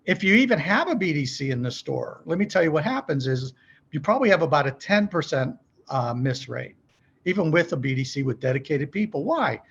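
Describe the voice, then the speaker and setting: A man's voice: on the high side, sing-song, brisk, a bit bright, husky, highs cut off. A middle-aged man speaking as a podcast guest, explaining business practice conversationally in a folksy way, often posing questions and checking in with the listener. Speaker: podcast guest, company executive.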